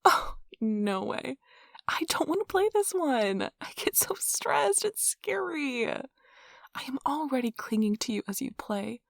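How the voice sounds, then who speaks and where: A woman playing an affectionate, playful, nerdy young girlfriend in an intimate, soft-spoken ASMR role-play, with a breathy, whispery voice and a tender, teasing manner. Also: high voice, sing-song, unhurried, dry recording, clean studio sound, full character voice. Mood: playful, reluctant.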